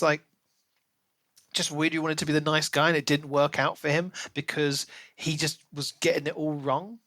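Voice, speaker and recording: A man co-hosting a podcast in a casual book discussion, talking in an animated, rambling way and acting out imagined quotes. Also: fairly high pitch, dry recording. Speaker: podcast co-host reviewing a book.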